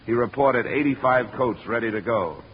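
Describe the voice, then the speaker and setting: An actor playing a self-assured, wisecracking insurance investigator in a 1950s radio drama, with a wry, hard-boiled delivery. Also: male voice, mid-range voice, normal speed, a little hiss or hum, close to an everyday voice. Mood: matter-of-fact. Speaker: lead actor in a radio detective series. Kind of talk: 1950s radio detective acting and narration. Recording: muffled old radio recording, faint music.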